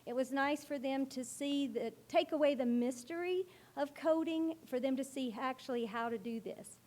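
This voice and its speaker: Loud-voiced woman presenting. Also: high voice, moderate pace. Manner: loud, carrying voice. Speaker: school librarian presenting to a school board. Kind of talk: presentation to a board meeting.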